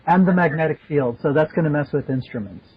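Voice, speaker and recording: A man with a somewhat high-pitched voice chats casually in a panel discussion, chiming in as others talk. The audio is a bit muffled. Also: fast, slightly rough. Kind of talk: casual chat, interjecting. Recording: dull, band-limited audio.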